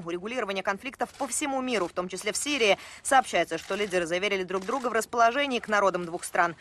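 Female news correspondent, brisk and bright scripted delivery, faint noise behind. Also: on the high side. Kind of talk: scripted news report narration.

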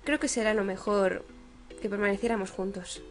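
A young performer voicing a cautious, protective young man from a horror game, calm, polite and serious. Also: high-pitched, moderate pace, faint music behind, pitched below the performer's own voice. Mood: calm, concerned.

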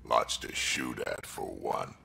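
An actor playing a tough old male krogan warrior, gruff and blunt, with a deep gravelly growl. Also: slow, bright, forceful tone, exaggerated voice.

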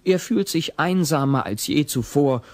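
A man's voice: on the high side, expressive, a little slow, no room sound. A man giving scripted narration for an audio play. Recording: old cassette audio with music.

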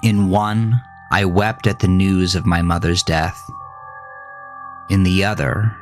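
A man's voice: deep voice, steady tone, slow pace. A man narrating a first-person horror story in a brooding, theatrical, dramatic way. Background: faint music.

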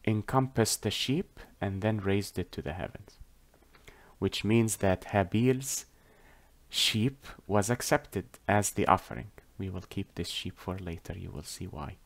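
A man whose first language is Arabic, with a lowish voice, tells a religious story at a very slow, measured pace. He pauses often, hunts for his words and corrects himself as he goes. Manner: deliberate, halting, with frequent pauses and self-corrections. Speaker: religious storyteller teaching on a whiteboard. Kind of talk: explanatory storytelling lesson.

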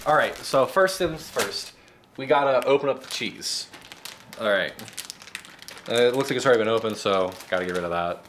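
A man in his twenties presents a spoof cooking segment with a straight face and a halting, casual delivery. His voice sits at a middling pitch. He is picked up by a camera mic in a home kitchen, with some noise and crinkling behind him. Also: expressive. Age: 20s. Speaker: host of a comedic cooking segment. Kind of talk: mock cooking tutorial, casual vlog. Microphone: camera mic held by another person nearby. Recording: noisy camera audio, room noise.

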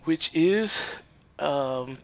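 A man working as a plumber, explaining a pipe installation in an unfinished house, pausing often with fillers. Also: on the high side, lively intonation, creaky, a bit bright, dull audio. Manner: halting, many "uh" fillers. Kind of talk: hands-on how-to explanation. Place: unfinished house under construction, indoors.

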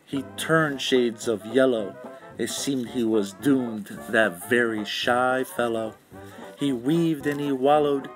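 A man's voice: mid-range voice, slow pace, low-quality recording. A man reading a children's storybook aloud in a rhythmic, sing-song way. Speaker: children's book read-aloud narrator. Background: instrumental music.

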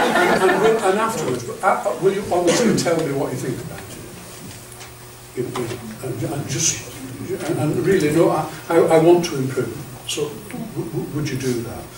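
A man's voice: fairly high pitch, expressive, unhurried. An older man giving a chatty, anecdotal training talk to clergy, often restarting with stammered repeats, heard from a camera across a meeting room. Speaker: bishop leading clergy training. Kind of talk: lecture to clergy. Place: classroom-sized meeting room with projector screen. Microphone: far camera. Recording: echoey room, far from the mic, faint hiss.

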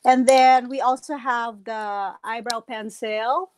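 A middle-aged woman with a Filipino accent and a slightly raspy voice, casually walking through her makeup kit on a live video call through earphones. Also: fairly high pitch, fairly even intonation, slow pace, very noisy. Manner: shows products one by one to camera. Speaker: guest makeup presenter. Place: home room with shelves. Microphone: earphone headset on video call.

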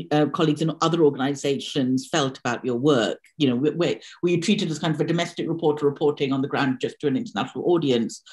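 A woman's voice: sits low, mellow. A woman in her forties hosting a seminar, asking long, thoughtful questions conversationally over a video call. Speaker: seminar host, interviewer. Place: room indoors, video call.

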